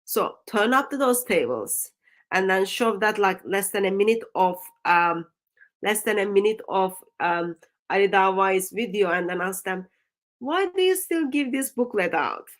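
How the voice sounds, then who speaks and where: A woman's voice: mid-range voice, expressive, measured pace, fairly bright tone. Emphatic woman with a Turkish accent. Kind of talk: live discussion with evangelistic exhortation.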